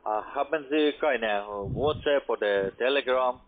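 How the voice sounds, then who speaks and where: Halting foreign-accented man on the phone. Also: mid-range voice. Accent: foreign accent in German. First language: not German. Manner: halting, broken grammar. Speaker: phone scam caller posing as payment agent. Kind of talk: phone conversation, giving instructions. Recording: muffled narrowband phone line.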